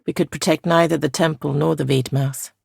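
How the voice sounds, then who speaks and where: Casting: ancient female ghost guardian for a fantasy game. Soft, heavily creaky, solemn and weary, formal diction, very close dry sound. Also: low-pitched, steady tone, no echo, heavily acted. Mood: grave, mournful. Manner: solemn, measured, archaic formal phrasing. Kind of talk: video game character dialogue.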